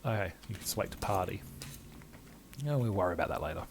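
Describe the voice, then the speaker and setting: Creaky-voiced man doing game commentary. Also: sits low, animated, quick pace, background noise. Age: middle-aged. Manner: thinking aloud, reading menus. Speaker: gamer playing through a video game. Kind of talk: let's play commentary. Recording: faint game ambience behind voice.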